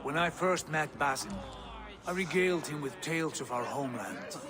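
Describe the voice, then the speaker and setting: Man playing proud well-travelled viking in a game, fairly high voice, tells it like a saga, people murmuring behind. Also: animated, normal speed, over a noisy crowd, natural voice. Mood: proud, fond. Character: proud, worldly male Viking warrior home from travels. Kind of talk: video game cutscene acting.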